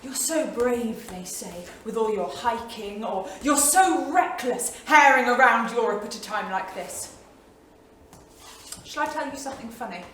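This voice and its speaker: A woman's voice: a little high, animated, a little slow, a bit bright. Woman acting intense 1930s wife, very echoey stage. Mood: bold, playful. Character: intense, bold, restless wife in 1938. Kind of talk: staged reading, dramatic monologue. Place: small theatre studio. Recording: echoey theatre, distant mic, faint noise.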